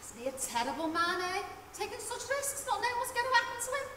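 An actress playing a worried, coaxing wife of a drunkard on stage. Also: female voice, very high-pitched, very animated, quick pace, bright, forceful tone, slight background noise, heavily acted. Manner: coaxing, wheedling. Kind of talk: stage play acting. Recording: echoey hall, far from mic, faint ambience.

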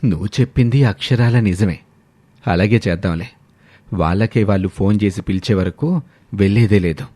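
A man's voice: on the low side, normal speed, warm tone, slightly rough, no room sound, pitched below the performer's own voice. A man playing a weary, proud older father in a family moral story, resigned and grumbling.

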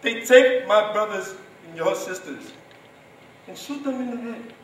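A man's voice: high voice, lively intonation, a little slow. A young man performing a dramatic stage monologue as an anguished, protective seventeen-year-old Darfuri refugee, impassioned and pleading. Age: young adult. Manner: impassioned, pleading, swinging between cries and hushed grief. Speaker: student performer. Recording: roomy, distant stage recording, faint noise.

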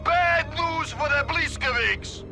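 High, theatrical Russian cosmonaut. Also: male voice, takes it slowly, over loud music, exaggerated voice. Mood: outraged resolve. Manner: broken English, theatrical indignation. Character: gullible, vodka-loving male Soviet cosmonaut. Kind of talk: comic video game cutscene acting.

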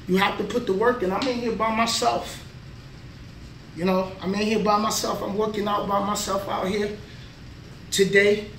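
Man in his thirties, plain-spoken motivational vlogger, fairly high voice, a bit off mic. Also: fairly bright tone, far from the mic, slight background noise. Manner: motivational, plain-spoken, talks between sets. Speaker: vlogger, trucker. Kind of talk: casual workout vlog. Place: small indoor gym. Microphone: camera mic from a few feet away. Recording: echoey room, voice a bit off mic.

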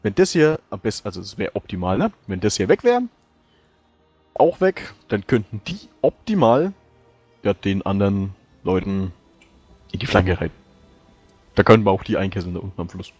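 A man playing a tabletop game, thinking out loud about tactics with many restarts and fillers. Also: fairly low pitch, lively intonation, moderate pace, long pauses, breathy edge, no echo, faint music behind. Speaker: player. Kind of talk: strategy discussion in a tabletop game.

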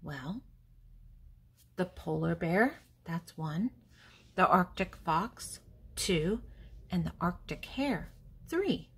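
An older woman with a lowish, husky voice reads to small children. Her delivery is deliberate and teacherly, swinging widely in pitch as she names things and poses simple questions. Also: lively intonation. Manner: deliberate, teacherly, pausing between words. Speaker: host reading a children's picture book aloud. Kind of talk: read-aloud for young children, with questions and answers.

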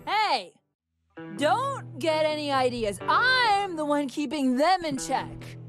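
A woman's voice: high voice, big pitch swings, slow pace, music in the background, pitched above the performer's own voice, heavily acted. A woman voicing a brash, scornful young treasure-hunting woman in a visual novel, bratty and blustering. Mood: scornful, boastful. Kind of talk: visual novel character dub.

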